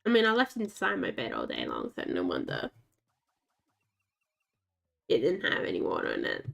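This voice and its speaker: A woman's voice: a bass voice, wildly swooping pitch, moderate pace, creaky, highs cut off. Scratchy-voiced woman streamer, chatty. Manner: rambling, chatty, talks to herself and the game's dogs. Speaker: game streamer. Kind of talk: casual gameplay commentary.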